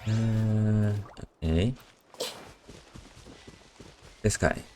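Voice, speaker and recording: A game streamer chatting casually, with muttered interjections and deadpan asides. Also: mid-range voice, slow pace, a little hiss or hum. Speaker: live streamer. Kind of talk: casual gameplay reactions. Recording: stream with game music behind.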